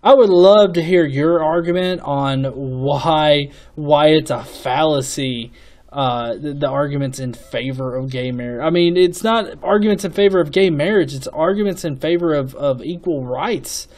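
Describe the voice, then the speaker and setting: A man's voice: on the high side, moderate pace, darkish tone. A young man hosting a casual commentary on viewer comments, rambling and swearing with many stuttered restarts. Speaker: host.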